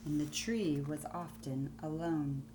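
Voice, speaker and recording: A woman teacher reading a children's story aloud, deliberate and expressive, with pauses between phrases. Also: sits low, noisy background.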